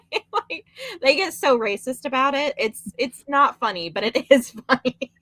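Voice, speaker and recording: A woman's voice: high voice, lively intonation, a little slow, a bit bright, no room sound. A woman chatting casually, with joking banter and personal anecdotes. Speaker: livestream guest.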